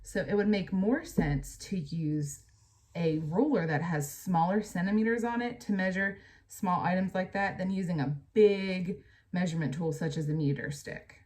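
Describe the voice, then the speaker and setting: An American woman with a slightly low voice reads aloud as a teacher. She explains things plainly and speaks directly to young pupils, moving between the text and her own asides. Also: moderate pace, a little mellow.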